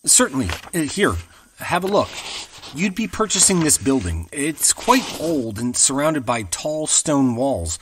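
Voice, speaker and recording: A man's voice: fairly high pitch, sing-song, a little slow, distant mic, background noise. An actor playing a nervous young male land agent who talks in a hesitant, stammering way. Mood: polite. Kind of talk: audio drama acting.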